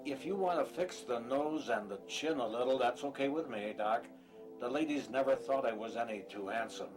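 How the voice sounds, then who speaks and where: A man's voice: mid-range voice, moderate pace, lightly acted, rough recording. A man playing a nervous, hesitant fugitive patient in an audio drama, plain-spoken and uneasy. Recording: music behind the voice.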